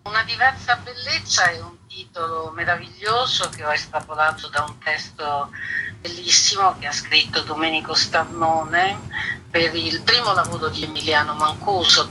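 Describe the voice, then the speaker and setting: A woman's voice: low-pitched, steady tone, normal speed. A woman being interviewed on radio as a curator, explaining her work, recorded at a distance from the microphone. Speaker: exhibition curator, interview guest. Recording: distant, noisy field-like interview sound.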